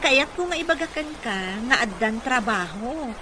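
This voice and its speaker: A woman's voice: high voice, animated, moderate pace. A woman playing a kind, concerned caregiver in an Ilocano radio comedy-drama. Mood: friendly, chatty. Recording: noisy radio drama mix.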